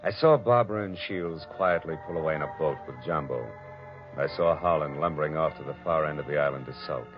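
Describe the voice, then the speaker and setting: An actor playing a tough, wisecracking American private detective in 1940s radio style. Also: male voice, very deep voice, fast talker. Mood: brooding, wry. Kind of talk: 1940s radio detective acting, hardboiled narration. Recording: dull vintage radio recording, music behind.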